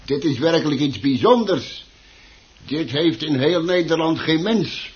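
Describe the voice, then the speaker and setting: An actor in a radio play playing a chatty, grumbling plant shop keeper who hesitates, stammers and repeats himself. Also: male voice, on the high side, expressive, unhurried. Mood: earnest. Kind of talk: Dutch radio play acting. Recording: dull, muffled old radio recording.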